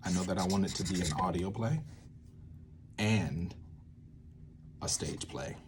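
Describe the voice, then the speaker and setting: A man with a fairly low voice talks off the cuff as he works through creative ideas. His delivery is informal and rambling, with words that get stretched or punched for emphasis. There is some background noise on the recording. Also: a little slow. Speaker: video series host, theatre maker. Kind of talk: casual unscripted creative-process talk, thinking aloud.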